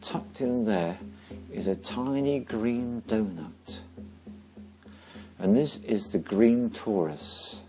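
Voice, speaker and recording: A man leading a guided meditation slowly and gently, pausing between short phrases. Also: on the low side, faint music behind.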